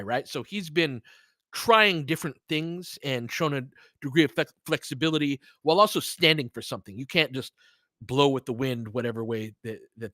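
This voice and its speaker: A man's voice: a little high, sharp tone, no room sound. Conversational male sports commentator, dry close sound. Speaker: sports podcast host and live play-by-play commentator. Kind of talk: casual analysis and live game commentary, chatting with listeners.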